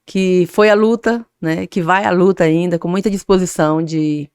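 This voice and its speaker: Woman, middle-aged, interview guest, determined, smooth and resonant. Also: on the low side, fairly even intonation, normal speed, darkish tone, dry recording, studio quality. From Maranhão, Brazil. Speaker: guest, association president. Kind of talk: interview answers.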